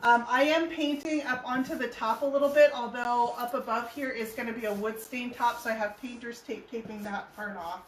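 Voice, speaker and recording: A hoarse woman talks viewers through a painting demo in a casual, chatty way, her voice sitting a bit high. She sounds set back from the mic in a roomy space. A faint hum of room noise sits underneath. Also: steady tone, fairly quick, few pauses, distant mic. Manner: chatty, laughs easily. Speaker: furniture painting host. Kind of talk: live painting tutorial.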